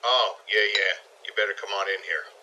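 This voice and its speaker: A man's voice: fairly low pitch, steady tone, pushed and bright, a little hiss or hum. A man voicing a gorilla-like, put-upon manservant in a comic audio play, blunt, grumbling and defensive. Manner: gruff, blunt, halting, grumbling. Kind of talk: comedic audio play acting.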